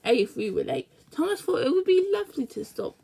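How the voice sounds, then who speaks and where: A high-voiced young-sounding reader reading a children's storybook aloud, stumbling and repeating words along the way. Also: wildly swooping pitch, brisk, vocal fry, warm tone, breathy edge.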